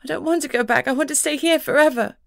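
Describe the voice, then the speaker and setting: A woman voicing a tearful, heartbroken young girl who pleads in a high, trembling voice. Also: fast, gravelly, dry recording, clean studio sound. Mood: pleading.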